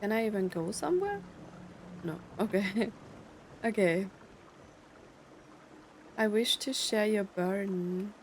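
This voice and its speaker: A woman's voice: medium pitch, animated, slow, long pauses, slight background noise. A woman giving casual gameplay commentary, puzzling things out aloud as she plays. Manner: musing, puzzled, thinking aloud. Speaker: video game streamer. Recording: game music behind voice.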